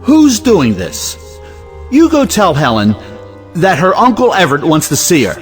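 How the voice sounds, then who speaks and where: A brusque, impatient man of money played by an actor rules his family in a stage drama. His fairly high male voice swings emphatically up and down, over music. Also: wildly swooping pitch, measured pace, full character voice. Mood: irritated, commanding. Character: blustering, impatient, wealthy male head of the family. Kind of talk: stage play audiobook acting.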